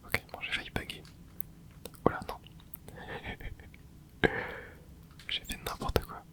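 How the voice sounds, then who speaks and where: A hushed, breathy whisper talks through a video game at an easy pace, tossing in little fillers along the way. The voice stays soft and unvoiced, sitting right up against the microphone. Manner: soft whisper, relaxed running commentary with quick fillers. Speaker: gaming ASMR host. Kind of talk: whispered gameplay commentary. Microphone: close microphone.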